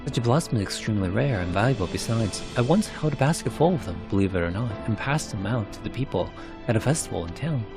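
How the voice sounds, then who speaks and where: A man voicing a gentle, composed woman in a narrated game story. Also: lowish voice, expressive, fast, over music. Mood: composed, wistful. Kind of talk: narrated game cutscene character.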